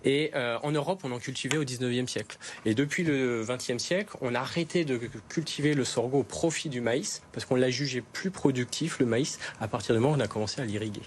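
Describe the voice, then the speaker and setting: A man's voice: medium pitch, fairly quick, few pauses, a little hiss or hum. A man, a farmer, explaining his crops in an interview, earnest, with many hesitations and restarts. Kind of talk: interview answers.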